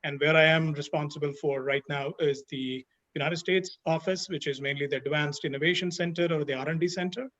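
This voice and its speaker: A man's voice: a little high, fairly quick. Middle-aged man explaining over a dull call. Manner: steady, explanatory, many "you know" fillers. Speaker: panelist. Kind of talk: webinar panel talk. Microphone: video call.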